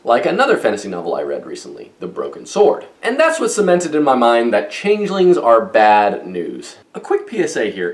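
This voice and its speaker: Man reviewing books with animated pitch swings. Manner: wry, playful asides. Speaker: book reviewer and vlog host. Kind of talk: semi-scripted review talk to camera.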